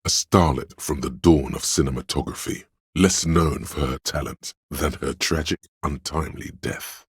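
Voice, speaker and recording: A man voicing a wry, grandiloquent, erudite inner voice of trivia in a video game, lecturing in measured scripted narration. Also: rumbling low, slightly rough, no echo, clean studio sound, heavily acted. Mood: somber.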